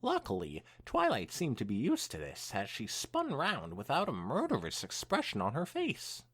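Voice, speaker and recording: A young man hosting a podcast, reading fan fiction aloud in an animated way mixed with casual chat. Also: mid-range voice, normal speed, slightly rough.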